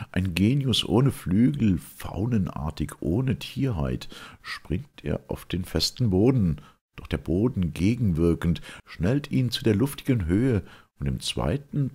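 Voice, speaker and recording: A man's voice: low voice, big pitch swings, slow, a little mellow, husky, full character voice. Man reading as theatrical old crone, wildly swooping delivery. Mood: excited. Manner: theatrical, declamatory. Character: ancient sinister hag storyteller Phorkyas, animated and boastful. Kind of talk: dramatic reading of German verse drama.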